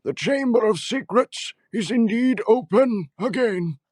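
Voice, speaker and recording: A man playing a grave old wizard headmaster in an audio drama, solemn and measured. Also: very high-pitched, animated, darkish tone, slightly rough, no room sound, clean studio sound, full character voice.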